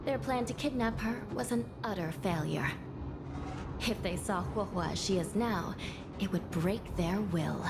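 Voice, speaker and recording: A woman voicing a composed, resolute judge and commander in an anime-style game, authoritative and measured. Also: mid-range voice, background noise, natural voice. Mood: grave. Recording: background music.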